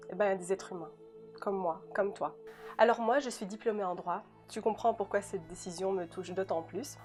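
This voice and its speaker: A woman's voice: medium pitch, a little mellow. A young woman from Belgium whose first language is Dutch, giving an earnest, scripted personal testimony and speaking directly to the listener. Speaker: law graduate giving a testimony. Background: music.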